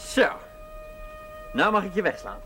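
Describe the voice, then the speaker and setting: A man's voice: high voice, very animated, a little slow. An actor playing a teasing, affectionate man with a mock-solemn manner, in naturalistic television drama style. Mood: playful. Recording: 1970s television soundtrack under loud music. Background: loud music.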